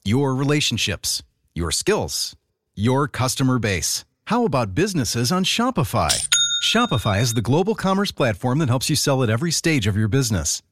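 A man's voice: medium pitch, no room sound, clean studio sound. A man reading a conversational scripted radio commercial. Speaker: advertisement announcer.

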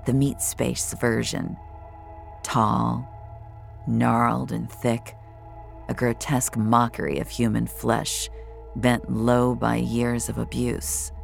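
A cold, gloating killer telling their own story in an audio thriller. The voice is extremely low with a gravelly creak, measured and menacing. A faint musical score runs underneath. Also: female voice, exaggerated voice. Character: cold, gloating serial killer narrating the hunt. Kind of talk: first-person audio fiction narration. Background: dramatic score.

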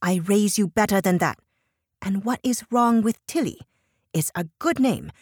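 A woman's voice: mid-range voice, moderate pace, a little mellow, husky, dry recording, clean studio sound, pitched above the performer's own voice, lightly acted. Woman voicing a bossy older Chinese mother, shift+. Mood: indignant scolding. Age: 60s. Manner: stern, scolding, broken grammar. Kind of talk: audiobook character voice.

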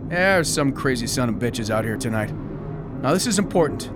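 An actor playing a paranoid, self-important conspiracy theorist in a radio drama, speaking with emphatic indignation. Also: male voice, mid-range voice, lively intonation, brisk, noisy background, natural voice. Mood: brisk, agitated.

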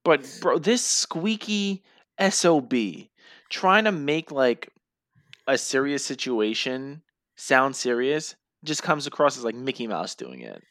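A man's voice: slow, no room sound. Ranting man on a dry mic. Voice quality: says he has a high voice. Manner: blunt, profane, animated ranting. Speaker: podcast co-host. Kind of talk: casual chat.

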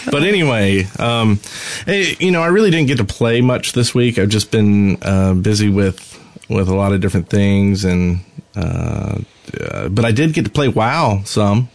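A man's voice: lowish voice, animated, measured pace, vocal fry, no echo. A man co-hosting a casual gaming podcast, talking in a loose, rambling way with hesitant explanations. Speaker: podcast co-host.

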